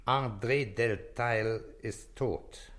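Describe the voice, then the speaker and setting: An actor playing a calm, persistent police inspector in a German radio play, questioning tersely and insistently. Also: male voice, medium pitch, very slow. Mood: matter-of-fact, probing.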